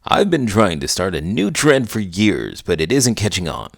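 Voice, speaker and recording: A man's voice: fairly low pitch, huge pitch swings, normal speed, vocal fry, dry recording, studio quality, exaggerated voice. A man voicing a cheeky, curious talking horse who reads a children's storybook aloud in a theatrical way. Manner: theatrical, exaggerated.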